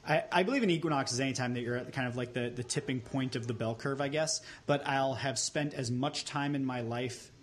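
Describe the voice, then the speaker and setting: A man in his thirties from Long Island, hosting a podcast and telling deadpan tall tales in casual chat. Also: medium pitch, a little fast, few pauses. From Long Island, New York. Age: 30s. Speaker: podcast host.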